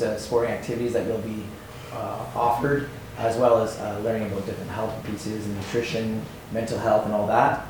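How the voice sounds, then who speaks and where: A man with a medium-pitched, slightly hoarse voice gives an informal talk as a PE teacher. He sounds some way from the mic in a very echoey space. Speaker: PE department head, teacher and coach. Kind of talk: informal course presentation to a school assembly. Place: large echoey school gathering space. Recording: echoey hall, distant, faint background noise.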